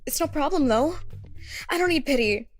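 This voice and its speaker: A woman's voice: high-pitched, lively intonation, moderate pace, soft background music. A woman voicing a lonely, self-effacing young shopkeeper in a visual novel, hesitant and apologetic, with small stammers. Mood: guarded, apologetic.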